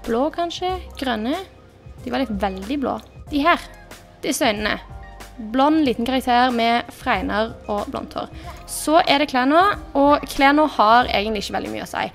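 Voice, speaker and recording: A woman's voice: on the high side, vocal fry, faint music behind. Chatty young woman narrating gameplay. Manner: chatty, thinking aloud while clicking through options. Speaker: gaming YouTuber. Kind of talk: casual gameplay commentary.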